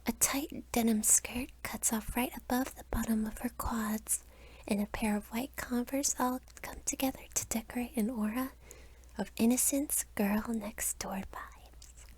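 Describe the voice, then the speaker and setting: A young woman reads a scripted story as ASMR. She keeps to a breathy whisper, with a fairly high voice underneath. The delivery is that of a narrator addressing the listener directly. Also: steady tone, unhurried, a little mellow, poor audio.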